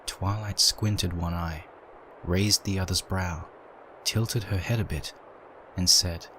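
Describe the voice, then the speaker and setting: Deep-voiced man narrating a dark story. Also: fairly even intonation, a little hiss or hum, low-quality recording. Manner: measured, dramatic storytelling.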